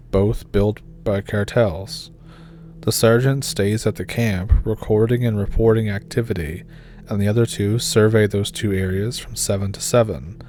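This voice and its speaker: A man calmly reading scary stories aloud as the narrator of a horror channel. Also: on the low side.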